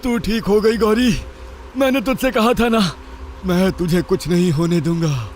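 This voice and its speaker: Man acting a high-pitched, desperate devoted father. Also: a little slow, darkish tone, slight background noise. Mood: relieved, protective. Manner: firm, dramatic. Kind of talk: Hindi horror cartoon story dialogue.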